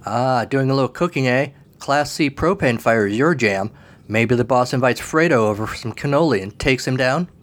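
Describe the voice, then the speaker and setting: A man voicing an oily, grandiose fire salesman creature in a comic audio story, pitching with theatrical flourish. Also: animated, normal speed, close to an everyday voice. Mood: theatrical salesy.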